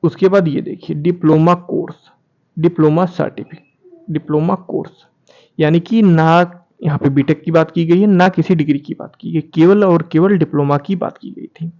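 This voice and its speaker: A man's voice: on the high side, steady tone, moderate pace, darkish tone. A man hosting an informal online explainer, reading documents aloud and repeating key phrases as he addresses viewers directly, on a muffled recording. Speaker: YouTube channel host presenting documents. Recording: dull, muffled lo-fi sound.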